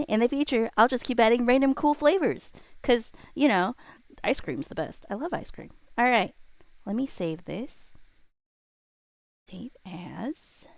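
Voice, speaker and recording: A woman's voice: fairly high pitch, gravelly, dry recording. A woman hosting a livestream, chatting casually and playfully with viewers in a rambling way. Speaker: livestream host. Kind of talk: casual chat with live viewers.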